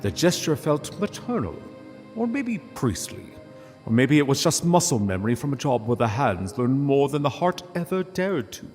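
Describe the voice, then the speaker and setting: A man narrating a scripted literary story in a solemn, measured way with dramatic pauses. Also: mid-range voice, big pitch swings, a little mellow, big-room sound, soft background music.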